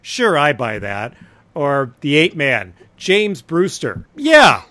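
A man's voice: a little high, huge pitch swings, takes it slowly, sharp tone, no room sound. A man co-hosting a podcast, giving opinions in a wry, dryly humorous casual chat. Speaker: podcast co-host.

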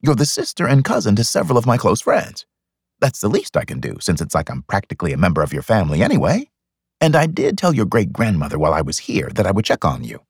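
A confident, flirtatious bachelor from a romance audiobook, played by a male narrator. He teases easily, with a husky, gravelly voice and lively swings of pitch. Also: fast talker, dry recording, clean studio sound, exaggerated voice. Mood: confident, persuasive. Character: confident, flirtatious bachelor club owner, smooth and teasing.

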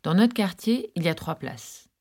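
A woman's voice: on the low side, big pitch swings, normal speed, mellow. A woman narrating a podcast in a reflective, literary manner with conversational asides.

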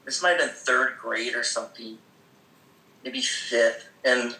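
A man's voice: medium pitch, measured pace, sharp tone, reverberant, low-quality recording. A man casually telling stories about growing up, rambling with many fillers and frequent laughs. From Seattle area. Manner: rambling, many fillers, laughs often, acts out quoted voices. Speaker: guest. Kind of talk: casual conversational storytelling.

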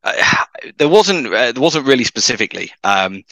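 A man's voice: medium pitch, sing-song, moderate pace, bright, forceful tone, dry recording. A young man with a Manchester accent, a podcast guest talking hesitantly with many fillers and restarts. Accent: Mancunian. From north of England. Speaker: guest, materials scientist. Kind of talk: podcast interview.

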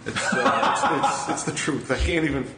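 A man's voice: fairly high pitch, sing-song, normal speed. A man of forty, a podcast guest, talking in a rambling, self-deprecating way with many fillers in a casual conversation. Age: 40s. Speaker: guitarist of a hardcore band, podcast guest. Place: upstairs room of a restaurant. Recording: echoey room with background noise.

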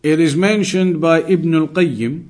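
A man with a slightly high voice delivers an Islamic lecture as a teacher would. He explains deliberately and didactically, circling back to key phrases to stress them. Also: darkish tone. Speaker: Islamic teacher giving a religious lesson. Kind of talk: religious lecture, explaining Arabic texts in English.

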